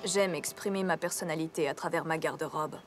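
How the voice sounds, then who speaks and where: A woman voicing a stoic young female cartoon pony, speaking in a slow, unemotional monotone. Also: low-pitched, close to an everyday voice. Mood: deadpan. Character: stoic, deadpan young female pony who loves rocks. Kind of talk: French-language cartoon dub. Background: music.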